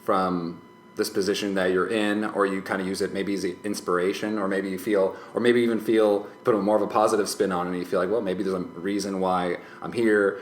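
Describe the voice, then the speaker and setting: A man's voice: low voice, flat delivery, a little fast. A man chatting casually as a podcast guest, thoughtful and tentative, rambling as he works out his answers. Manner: hesitant, tentative, rambling with hedged phrasing. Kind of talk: casual reflective chat. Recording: roomy echo, faint background noise.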